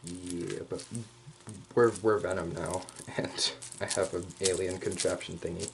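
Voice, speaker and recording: A man voicing a male hyena furry commander in a casual, rambling, playfully cocky ASMR roleplay, speaking straight to the listener with slangy asides and hesitant pauses. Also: low voice, flat delivery, low-quality recording. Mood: casual, boastful. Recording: clear background noise.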